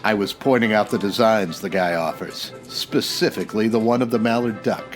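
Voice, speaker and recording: An actor playing a tough, wisecracking private detective in a terse 1940s radio noir style. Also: male voice, lowish voice, moderate pace, music in the background, close to an everyday voice. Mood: dry, sardonic. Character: hard-boiled, wisecracking male private detective from old-time radio.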